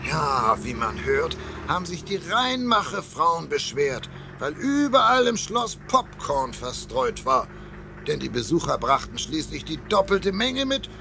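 A man's voice: fairly high pitch, creaky, sharp tone, a little hiss or hum, exaggerated voice. A man narrating a children's audio drama in a scripted storytelling style. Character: storytelling narrator and castle tour guide.

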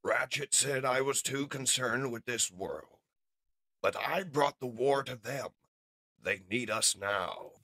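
A man playing a noble robot leader who speaks in a solemn, measured way in a comic dub. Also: medium pitch, gravelly, bright, forceful tone, breathy edge, no room sound, full character voice. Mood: somber, reflective. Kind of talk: dramatic comic dub acting.

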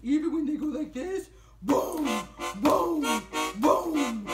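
A man's voice: very high-pitched, animated, slow, echoey room, over loud music, full character voice. A man voicing an earnest talking shoe puppet that is eager to scare, simple, emphatic and repetitive.

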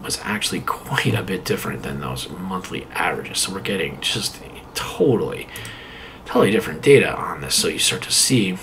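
A man's voice: sits low, expressive, normal speed, fairly bright tone, a little hiss or hum. A man casually walking through maps on a screen share, rambling with many fillers and muttering to himself as he clicks. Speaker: video presenter.

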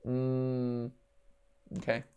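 A man's voice: medium pitch, monotone, drawn-out words, dark tone, clean tone. A man in his thirties hosting a casual livestream, reading aloud and muttering half-finished thoughts as he works through a puzzle. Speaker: livestream host. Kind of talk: casual live puzzle-solving commentary, thinking aloud. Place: indoor room, livestream set.

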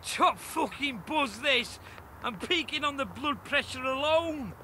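A man's voice: very high-pitched, expressive, unhurried, pushed and bright, slight background noise, heavily acted. A man playing a foul-mouthed, addled rocker in a video game cutscene. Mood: dazed.

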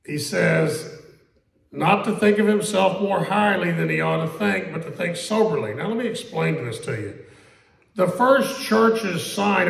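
A pastor preaching a conversational sermon in a church, asking questions, repeating them and quoting scripture slowly. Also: male voice, on the high side, creaky, a bit bright. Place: church sanctuary. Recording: echoey church room.

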